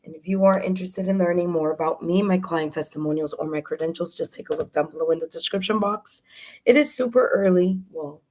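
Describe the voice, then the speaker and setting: A woman with a slightly low, hoarse and raspy voice hosts a live tarot stream. She chats in a welcoming way, talking straight to her viewers and filling pauses with frequent ums and uhs. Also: a little mellow. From New York. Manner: chatty, welcoming, with frequent fillers. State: hoarse, raspy from early morning and much talking.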